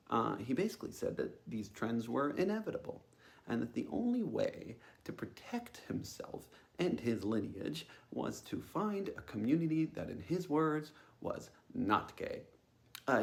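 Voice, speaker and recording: A young man telling a personal story informally, in a halting, hesitant way with frequent ums and a wry, self-aware tone. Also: medium pitch, creaky.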